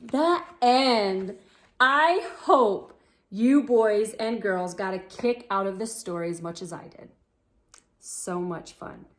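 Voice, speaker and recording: A woman storyteller reading aloud for children in an expressive, theatrical way. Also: medium pitch. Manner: theatrical, deliberate delivery with dramatic gasps and exclamations. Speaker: storyteller, channel host.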